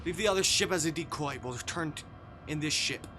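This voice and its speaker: A man voicing a panicked, commanding crewman in a visual novel, urgently shouting orders. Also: on the high side, brisk, noisy background, voice pushed up, close to an everyday voice.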